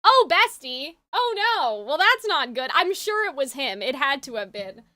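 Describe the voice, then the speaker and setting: A woman's voice: sits high, sing-song, a little slow, bright, forceful tone. A woman chatting casually to viewers on a live game stream, informal and exclamatory, swearing freely. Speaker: video game streamer, host. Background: music.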